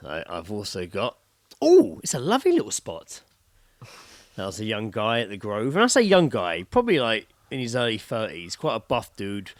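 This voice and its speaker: A man's voice: on the low side, huge pitch swings, normal speed, no echo, studio quality. A British man co-hosting a casual comedy podcast, talking bluntly and emphatically with plenty of swearing. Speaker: podcast co-host.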